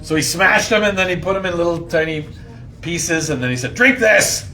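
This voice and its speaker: A man's voice: on the high side, animated, moderate pace, rough recording. Slangy man teaching over background noise. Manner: slangy and jokey, acting out lines loudly. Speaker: Bible study host and teacher. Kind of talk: informal Bible lesson with questions to listeners.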